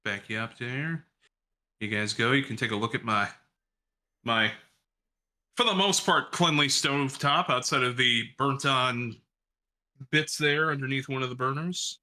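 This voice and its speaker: A man's voice: medium pitch, a little slow, pauses often, bright, forceful tone. A man who works in radio, cooking along in his home kitchen and chatting in a rambling, self-deprecating way. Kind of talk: casual chat while cooking. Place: home kitchen with gas stove.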